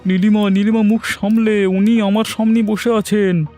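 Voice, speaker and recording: A man's voice: high voice, flat delivery, unhurried, mellow, soft background music, voice pushed up. A man voicing a flustered, obsequious village schemer in a cartoon. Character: fawning, excitable, cowardly village man, pleading schemer. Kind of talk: Bengali cartoon voice acting.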